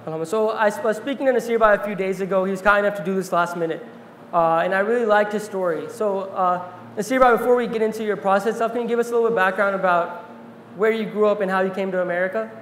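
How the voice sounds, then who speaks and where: A young man giving an earnest talk on stage in a large hall, telling a story from his notes and pausing with fillers, then interviewing a guest. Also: sits high, steady tone, a bit bright, a little hiss or hum. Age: young adult. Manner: earnest, reflective, pauses with fillers. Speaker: speaker and interviewer. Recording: roomy hall reverb, faint ambience.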